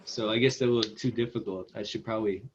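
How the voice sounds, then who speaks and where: A man's voice: fairly low pitch, steady tone, fast, noisy background. Man with dull, lo-fi sound, talking casually. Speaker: screenwriter. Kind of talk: casual feedback discussion.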